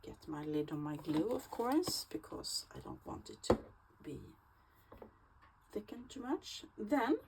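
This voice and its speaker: A woman's voice: fairly high pitch, big pitch swings, a little slow, very noisy. A Swedish woman with a Swedish accent, her native tongue Swedish, hosting a casual craft tutorial and chatting with hesitant fillers as she works. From Sweden. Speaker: crafting channel host.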